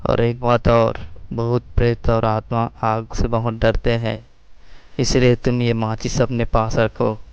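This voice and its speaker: A stern, calm railway boss acted by a man gives instructions slowly and evenly. The voice is clean and smooth, made for a horror story. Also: medium pitch, no room sound, highs cut off, lightly acted. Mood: calm, cautioning. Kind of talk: horror story character dialogue.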